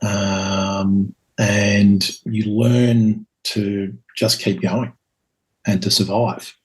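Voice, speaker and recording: Low flat-voiced Scottish man in his fifties. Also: poor audio. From Scotland. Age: 50s. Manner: hesitant, many ums and pauses. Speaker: guest. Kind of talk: reflective conversational storytelling.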